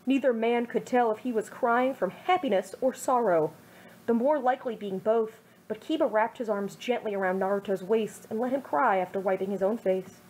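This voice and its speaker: High, husky woman reading a story. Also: normal speed, darkish tone, low-quality recording. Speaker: amateur fan fiction reader. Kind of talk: storytelling, read-aloud narration.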